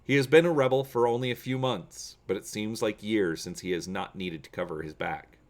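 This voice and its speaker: Low-voiced man reading an adventure story dramatically. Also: fairly quick. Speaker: host of a gaming channel. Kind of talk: dramatic audiobook-style reading of an adventure gamebook.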